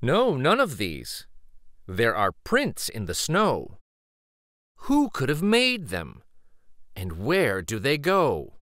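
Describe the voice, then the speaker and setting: Man reading aloud with very animated pitch. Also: takes it slowly, long pauses, no room sound, clean studio sound. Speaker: children's story reader and host. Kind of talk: read-aloud picture book narration.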